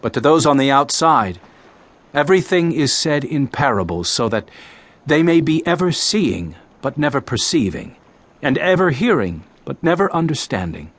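An actor playing an impassioned male teacher preaching parables in a dramatized audio Bible, with declamatory, deliberate phrasing. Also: a little high, sing-song, a bit bright, heavily acted. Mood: earnest, explaining.

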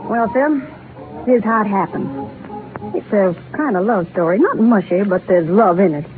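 A woman's voice: medium pitch, moderate pace, lightly acted. Actress as folksy older Southern carnival woman, tinny radio. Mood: reminiscing. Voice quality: folksy, rural speech. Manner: rambling, confiding storyteller. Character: folksy aging Southern carnival show owner, wistful, garrulous woman. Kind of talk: 1930s radio drama narration. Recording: tinny scratchy 1930s radio broadcast. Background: music.

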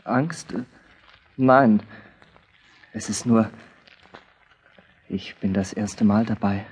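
Radio drama: green soldier, hesitant, very husky, slow, lowish. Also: male voice, darkish tone, full character voice, poor audio. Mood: anxious, hesitant. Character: nervous inexperienced soldier before first battle. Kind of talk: German biblical radio play acting.

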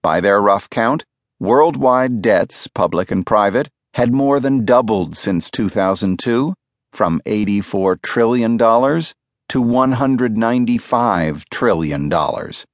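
A man reads a nonfiction audiobook in a measured, clearly phrased storytelling manner, close to the microphone. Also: lowish voice, expressive, dry recording. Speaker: audiobook narrator. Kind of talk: scripted nonfiction audiobook narration. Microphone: close studio microphone.

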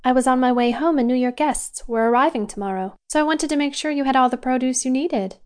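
A woman's voice: sits high, quick pace, smooth, close to an everyday voice. A woman playing a kindly, polite store worker in an audio drama. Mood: friendly. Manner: polite, gentle teasing.

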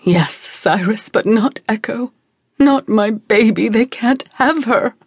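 Woman putting on a distraught middle-aged queen, a grieving mother pleading in a raised, anguished voice. The tone runs fairly high with a husky, gravelly texture. It is a storybook reading, close and dry. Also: slow pace, dry recording, exaggerated voice.

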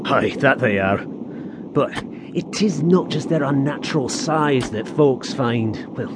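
An actor playing a wry, superstitious gravedigger's sidekick with an in an audio horror drama. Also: male voice, medium pitch, lively intonation, takes it slowly. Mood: uneasy, spooky. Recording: clear background ambience noise.

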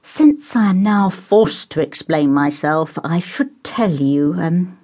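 A woman voicing a surly, irritable young man who speaks slowly and through gritted teeth. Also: low voice, animated.

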